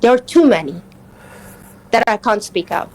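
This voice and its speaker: Woman from afghanistan, native persian speaker, kinda high voice, earnest, keeps searching for words in a live political talk. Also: animated, moderate pace, poor audio. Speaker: interview guest.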